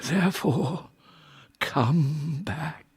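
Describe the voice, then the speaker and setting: A man playing an anxious old royal father in a Shakespearean audio drama, pleading gravely and haltingly. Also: fairly high pitch, exaggerated voice. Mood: pleading, foreboding.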